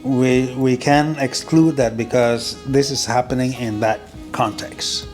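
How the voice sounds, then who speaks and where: A man in a mid-range voice hosts an interview, asking his questions in a measured, careful way. Faint music plays underneath him. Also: medium pitch, fairly even intonation, darkish tone. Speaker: interview host.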